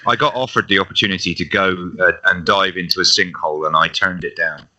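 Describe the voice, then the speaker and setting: A man talks things through on a live stream, thoughtful and a little halting. His voice sits very low but has a sharp, bright edge. The delivery is relaxed and conversational. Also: dull audio. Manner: reflective, explains with hesitations. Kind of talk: casual live-stream chat.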